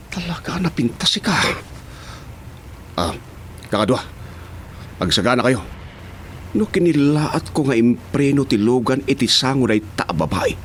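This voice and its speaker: A man's voice: medium pitch, a little hiss or hum. A man playing a cocky, leering kidnapper henchman in a radio drama, with an Ilocano Filipino accent.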